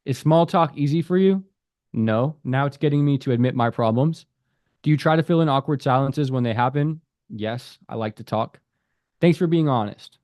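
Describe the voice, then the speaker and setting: A man in a relaxed voice-over talks through an app step by step, reading each question aloud before giving his take. His voice sits at an ordinary middle pitch. The sound is close and dry, with no room around it. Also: no echo. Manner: conversational, reads app prompts aloud and comments. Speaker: app developer reviewing an app. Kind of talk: casual screen-recorded app walkthrough.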